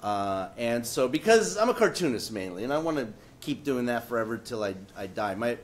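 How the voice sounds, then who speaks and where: A man who is a political cartoonist, speaking on a panel, joking wryly and pausing often with fillers. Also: mid-range voice, very animated. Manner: wry, joking, halting with many fillers. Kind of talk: casual panel talk presenting his work.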